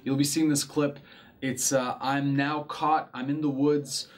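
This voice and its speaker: A man's voice: medium pitch, flat delivery, measured pace. Eager young man talking about his films. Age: young adult. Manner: eager, with frequent fillers and restarts. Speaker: actor guest. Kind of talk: casual interview talk. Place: small studio set with curtains.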